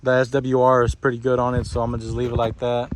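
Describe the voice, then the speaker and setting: A man's voice: medium pitch, completely flat delivery, fast. Casual man in a noisy handheld recording. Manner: informal, offhand, uses y'all. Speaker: DIY vlogger. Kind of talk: casual hands-on install walkthrough. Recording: noisy handheld video with clicks.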